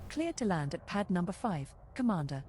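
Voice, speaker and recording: A woman's voice: on the low side, sing-song, moderate pace, darkish tone, slight background noise. A woman voicing a courteous, dryly witty spaceship computer in a game, making formal announcements. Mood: dry, approving. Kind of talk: scripted game voice pack lines.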